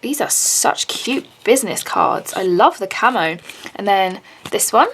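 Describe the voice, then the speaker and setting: A woman unboxing handmade charms in a casual vlog, gushing and excitable, with a slightly raspy voice. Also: mid-range voice, unhurried, slight background noise, low-quality recording. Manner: gushing, excitable, many "oh my God" and gasps.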